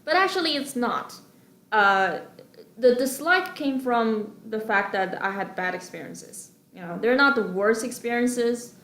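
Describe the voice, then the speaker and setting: A young adult woman from China, Chinese as her first language, talking through her views in a measured, explanatory way with frequent pauses and ums. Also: a little high, expressive, a bit bright, reverberant. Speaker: video responder. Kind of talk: monologue.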